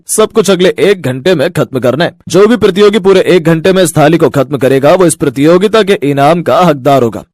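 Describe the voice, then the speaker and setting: A man's voice: a little high, darkish tone, voice pushed up, lightly acted. A man acts an enthusiastic restaurant owner hosting a cartoon contest. He announces emphatically in a raised male voice. The sound is close, with no room echo. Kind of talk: Hindi cartoon story acting.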